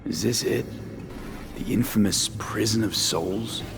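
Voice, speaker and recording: A man's voice: lowish voice, takes it slowly, vocal fry, over music. A man playing a weary, earnest mercenary in a video game, talking in an informal, streetwise way. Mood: questioning. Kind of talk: scripted game voice acting.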